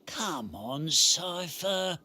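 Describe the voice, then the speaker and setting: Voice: mid-range voice, very animated, very slow, bright, forceful tone, slightly rough, full character voice. An actor playing a blunt, jaded acquaintance, colloquial and chiding, in a game drama. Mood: chiding, resigned.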